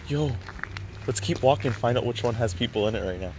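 A man's voice: medium pitch, fast. A young man chatting casually and slangily while exploring outdoors. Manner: slangy, sweary. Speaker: urban explorer vlogger. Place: outdoors walking among townhouses in snow. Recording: noisy outdoor camera audio with clicks.

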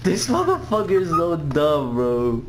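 A young man with a high voice banters with his friends. He is teasing and slangy and swears often. The audio is a very noisy voice chat. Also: wildly swooping pitch, a little slow.

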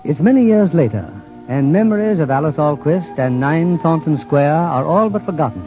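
A man's voice: a little high, lively intonation, normal speed. A man reading scripted scene-setting narration in 1940s radio style. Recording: dull old radio, music behind.

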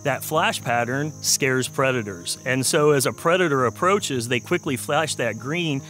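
An American man speaks as a researcher in a documentary interview, explaining things in a measured way. His voice sits at a middling pitch, recorded outdoors on a lapel mic with faint music underneath. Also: fairly bright tone. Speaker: wildlife ecology researcher. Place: outdoors in a wooded swamp. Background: music, insects.

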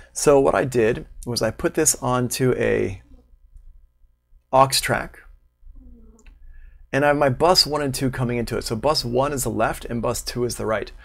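A man in his thirties giving a casual, unscripted software tutorial as an instructor, thinking aloud as he goes. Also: medium pitch, moderate pace, gravelly. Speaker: online music production instructor. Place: small room at home, indoors, livestream.

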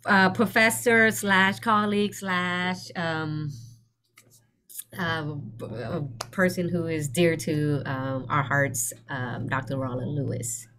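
Slow, low-voiced woman counselor. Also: fairly even intonation, fairly bright tone. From Vietnam. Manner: hesitant, many ums and restarts. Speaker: school counselor. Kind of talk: video call presentation. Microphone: webcam on video call.

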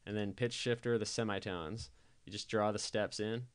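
A man giving a casual software tutorial voice-over, explaining things informally step by step. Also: lowish voice, steady tone, normal speed, smooth. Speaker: tutorial presenter.

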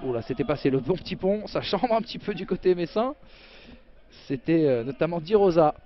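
A man doing play-by-play football commentary, chatty and jokey, bursting with excitement on big chances. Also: on the high side, fairly quick, dull audio.

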